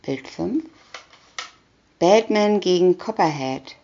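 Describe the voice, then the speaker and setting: Woman, picture-booklet narrator, swooping pitch, short captions, dull lo-fi sound. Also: expressive, slow pace, vocal fry, mellow. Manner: announces short captions one by one. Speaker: reader. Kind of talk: slow scripted reading of a children's picture booklet.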